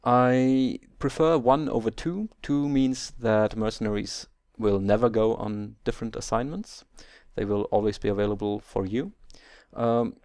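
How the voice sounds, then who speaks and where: A man's voice: fairly low pitch, measured pace, darkish tone, no room sound, clean studio sound. A German man speaking English as a second language, hosting a game let's play and carefully explaining settings step by step with small hesitations. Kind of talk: video game tutorial commentary.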